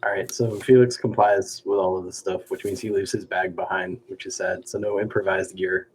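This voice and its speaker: A man's voice: sits low, flat delivery, moderate pace, slight background noise, low-quality recording. A man playing a tabletop roleplaying game, casually describing what his character does.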